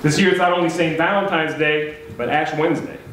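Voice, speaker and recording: A man's voice: fairly high pitch, fairly even intonation, a little fast, fairly bright tone, slight background noise. A man reading an old letter aloud to a live audience at a poetry night, breaking off now and then for casual, chatty asides. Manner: reads with offhand chatty asides. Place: live poetry event in a beach house venue. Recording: very echoey room with faint ambience.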